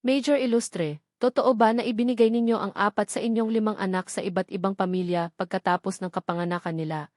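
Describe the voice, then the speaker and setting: A woman's voice: medium pitch, fairly even intonation, a little fast, darkish tone, no echo, studio quality, natural voice. A woman with a Filipino accent voicing a hard, relentless male lawyer questioning formally in a dramatic story. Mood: accusing. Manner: formal, pressing interrogation.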